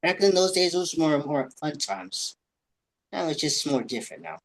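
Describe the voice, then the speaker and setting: A man's voice: on the high side, sing-song, normal speed. A man interviewing, reading numbered questions in a brief, plain way.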